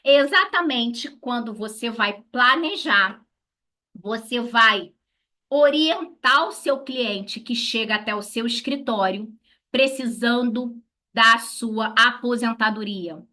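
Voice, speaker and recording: Brazilian woman, lawyer-instructor. Higher-pitched, bright, forceful delivery; didactic, question-and-answer teaching style. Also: slow pace. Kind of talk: instructional talk to colleagues.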